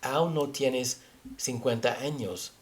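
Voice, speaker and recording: A man with a foreign accent, a native English speaker, teaching scripture haltingly as he searches for words. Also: mid-range voice. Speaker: religious teacher. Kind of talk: Bible teaching with scripture reading.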